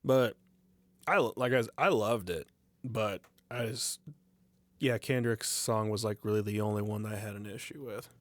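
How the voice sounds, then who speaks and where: A man's voice: lowish voice, normal speed, no echo. A young man as a podcast guest, talking in a laid-back way and trailing off. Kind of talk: casual chat.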